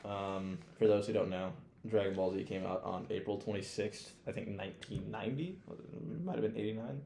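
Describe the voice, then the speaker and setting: A man with a low, dark voice co-hosts a casual podcast. He talks in a rambling, slangy, sweary way, like a keen fan. The sound is echoey, as in an untreated room. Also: normal speed, vocal fry, a little hiss or hum. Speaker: podcast co-host. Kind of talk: casual chat, profanity-laden.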